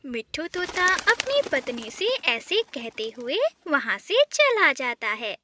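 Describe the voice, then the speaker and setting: Woman storybook narrator, very high pitch, slow, bright tone, dry sound. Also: big pitch swings, dry recording.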